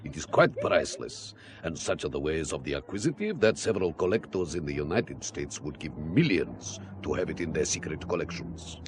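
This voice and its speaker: Philosophical Russian spymaster played by a male actor: deep, swooping delivery, courtly and deliberate, audio drama. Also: expressive, background noise. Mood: grave, confiding. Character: courtly, philosophical Russian intelligence officer, vodka drinker. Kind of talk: spy audio drama acting.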